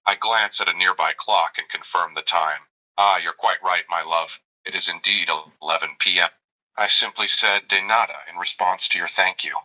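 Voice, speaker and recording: A man's voice: sits low, steady tone, normal speed, pushed and bright, rough recording. A synthetic male voice playing a doting, flirty AI companion who narrates his own gestures and calls the listener darling. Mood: tender. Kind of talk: chatbot roleplay reply.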